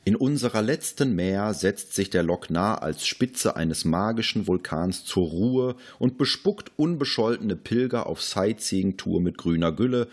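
A man with a fairly low, raspy voice. He speaks as a podcast co-host discussing film, in a style between casual chat and prepared narration. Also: a little mellow.

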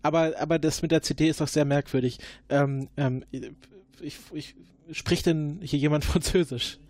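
Halting man, close dry recording. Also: on the high side, fairly even intonation, darkish tone, no echo. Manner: halting, repeats words while thinking. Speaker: player questioning suspects in an investigation game. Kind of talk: improvised role-play questioning and deduction.

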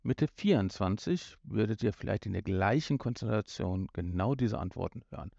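Low-voiced man in casual podcast banter. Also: lively intonation, normal speed, creaky, warm tone, no room sound, muffled recording. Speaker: podcast co-host. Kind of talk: casual chat, chipping in with comments.

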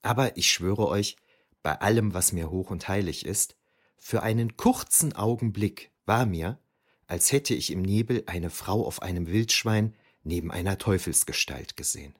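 An actor playing a shaken eyewitness, narrating an audio drama in the first person with deliberate, suspenseful emphasis. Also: male voice, sits low, breathy edge. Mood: haunted, suspenseful. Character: shaken eyewitness recounting an eerie forest battle.

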